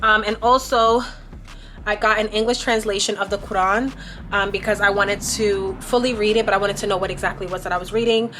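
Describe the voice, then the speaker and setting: A woman's voice: medium pitch, steady tone, brisk, fairly bright tone, slight background noise. A young woman vlogging casually to camera, explaining things in a chatty way with plenty of "um" and "like". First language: not Arabic. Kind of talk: casual vlog talk to camera.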